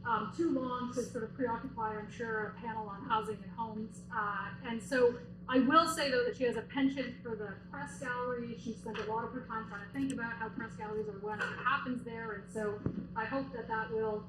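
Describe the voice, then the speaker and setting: A woman's voice: fairly high pitch, steady tone, fairly quick. A woman introducing a speaker at a live university event, chatty and full of asides and fillers. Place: lecture room at a university event. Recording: echoey room with noise.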